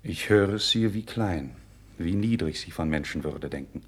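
A man's voice: low-pitched, expressive, measured pace, husky, full character voice. An actor playing an idealistic, proud young nobleman in a classical radio drama, with earnest, declamatory, formal diction. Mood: grave, reproachful. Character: idealistic young nobleman, passionate, proud, candid before his king. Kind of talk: 1950s German radio drama, classical verse acting.

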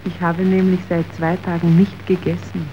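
An actress playing a hungry, stubborn poor worker's wife in a radio drama, with drawn-out, deliberate phrasing. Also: female voice, lowish voice, flat delivery, close to an everyday voice. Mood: guarded, hungry. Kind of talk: scripted radio drama acting. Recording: dull, faintly hissy old radio recording.

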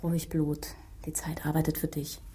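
A woman acting a cool, cautioning figure in a radio drama, measured and insinuating. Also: deep voice, monotone, warm tone, slightly rough. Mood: conspiratorial.

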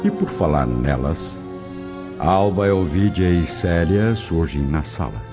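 A man's voice: deep voice, animated, takes it slowly. A man narrating a scripted radio drama set in ancient Rome in a solemn storyteller's manner. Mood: solemn storytelling. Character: solemn male narrator of an ancient Roman tale. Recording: dull lo-fi audio under music. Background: prominent music.

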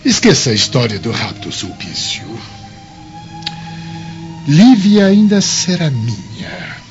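A man's voice: a little high, big pitch swings, takes it slowly. A Brazilian man playing an arrogant, lustful, scheming Roman governor in a radio drama, imperious and theatrical. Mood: haughty, sly. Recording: muffled lo-fi audio, music underneath.

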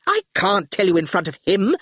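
A woman's voice: mid-range voice, big pitch swings, moderate pace, slightly rough, no room sound, studio quality. A woman voicing a theatrical, imperious younger brother in a storybook, breathless and impatient.

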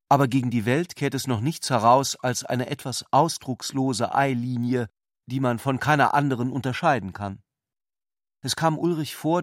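A man's voice: medium pitch, no room sound, studio quality. A man reading scripted literary narration for a radio production.

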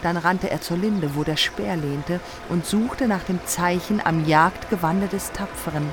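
A woman's voice: fairly low pitch, unhurried. A woman narrating a heroic epic in a scripted radio play.

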